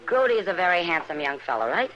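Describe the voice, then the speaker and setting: A woman's voice: lowish voice, very animated, fast talker, a bit bright, highs cut off. An American actress playing a worldly, frank, teasing saloon woman in a radio western, talking in a knowing, folksy way. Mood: knowing, exasperated. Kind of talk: old-time radio western acting. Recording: old radio broadcast with crowd murmur.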